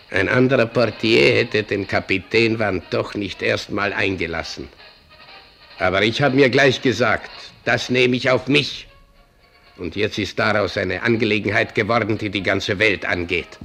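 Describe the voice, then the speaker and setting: A slow, brooding older doorman. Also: fairly low pitch, vocal fry, pushed and bright, heavily acted. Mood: self-important, grumbling. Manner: halting, muttering newspaper reading with asides. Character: brooding, opinionated older doorman and newspaper clipper. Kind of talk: German radio drama acting.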